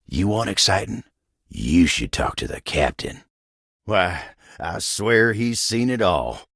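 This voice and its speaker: An actor voicing a kindly, humble, hardworking talking wooden table in a video game, with a gravelly, husky voice and a folksy Southern American country drawl. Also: male voice, low voice, a bit bright, no echo, clean studio sound, full character voice. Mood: friendly, modest. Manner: folksy, self-effacing, hesitant. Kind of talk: video game character voice acting.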